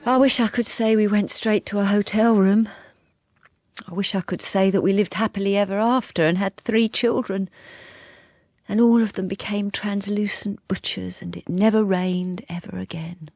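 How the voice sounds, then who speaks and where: Woman acting timid middle-aged wife, husky, mid-pitched, hesitant, wistful, confiding, radio drama. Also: fairly even intonation, normal speed, darkish tone, no echo, studio quality, lightly acted. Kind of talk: radio drama acting with first-person narration.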